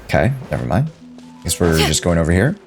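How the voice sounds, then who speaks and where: Animated man streaming with music behind. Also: deep voice, brisk. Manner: slangy, talks to chat. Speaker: video game streamer. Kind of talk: casual live reaction commentary, reading along.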